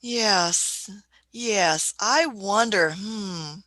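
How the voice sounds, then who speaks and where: A woman's voice: mid-range voice, very slow, bright, forceful tone, no echo. A woman acting a kindly, absent-minded elderly time-travelling Doctor in a radio play, with hesitant, old-fashioned, fussing phrases. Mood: musing.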